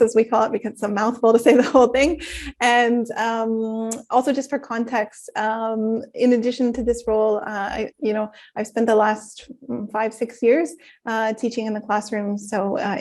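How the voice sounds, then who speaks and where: A woman, an academic writing specialist, introducing herself casually on a video call with light joking and fillers. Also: a little high. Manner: friendly, joking, loose fillers.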